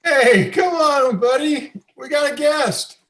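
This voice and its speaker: A man playing a good-natured, excitable father who blusters, in a table read of a screenplay. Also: very high-pitched, takes it slowly, full character voice. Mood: blustering.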